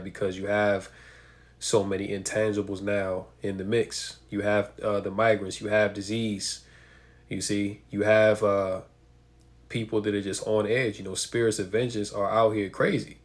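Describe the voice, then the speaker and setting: A man's voice: lowish voice, flat delivery, moderate pace. Preaching man, stuffed up from a cold. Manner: emphatic, repetitive, rhetorical questions. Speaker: religious commentator. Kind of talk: preaching commentary on news.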